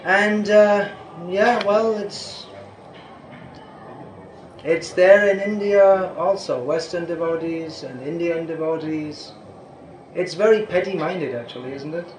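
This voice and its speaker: Older male teacher fielding questions, loose and digressive, fairly high pitch, roomy echo. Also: slow pace. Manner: rambling, self-interrupting, chuckles at times. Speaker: spiritual teacher answering questions. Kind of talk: informal question-and-answer talk. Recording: echoey room with faint background noise.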